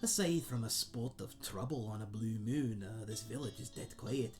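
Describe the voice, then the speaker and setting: A man's voice: on the low side, moderate pace, faint music behind, natural voice. A man playing a friendly, chatty old tavern keeper in a fantasy audio roleplay, with a folksy, rambling, self-deprecating way of talking to the listener. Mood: hospitable, joking. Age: older. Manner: rambling, folksy, hesitant, chuckling aside to a guest.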